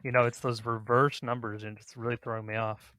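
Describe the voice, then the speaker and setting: A man's voice: mid-range voice, level tone, brisk, sharp tone, no echo, studio quality. A man hosting a podcast, talking casually as he runs through a ranking discussion. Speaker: podcast host.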